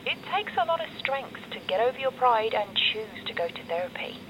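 Actress as therapist on noisy cassette tape. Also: female voice, on the high side, very animated, fairly quick. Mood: calm, encouraging. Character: calm, encouraging female therapist on cassette tape. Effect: old cassette recording. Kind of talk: audio drama acting.

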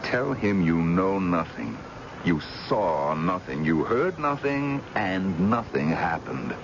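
Radio mystery: a domineering, evasive husband, very animated and brusque, on a muffled old recording. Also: male voice, low voice, slow, full character voice. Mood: impatient, insistent. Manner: brusque, defensive. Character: evasive, impatient, domineering husband hiding guilt. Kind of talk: radio mystery drama acting. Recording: dull, noisy old radio drama recording.

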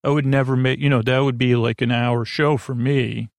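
A man's voice: mid-range voice, steady tone, moderate pace, dry recording. A man hosting a sleep podcast, meandering through tangents with lots of filler words and half-finished sentences. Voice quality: creaky. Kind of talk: rambling bedtime talk.

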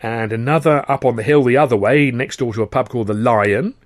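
A man voicing a crafty local man, pondering and deliberate as he gives directions. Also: mid-range voice, no echo, clean studio sound, lightly acted.

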